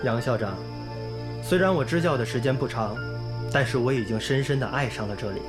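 An actor playing an earnest, idealistic young volunteer teacher in a radio drama, polite and sincere in manner. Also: male voice, mid-range voice, a little fast, natural voice. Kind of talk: radio drama acting and narration. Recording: music bed under the voice.

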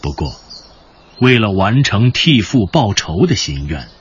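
A man narrating a scripted story with deliberate, dramatic phrasing, as in a radio drama. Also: on the low side, big pitch swings, husky, highs cut off. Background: music.

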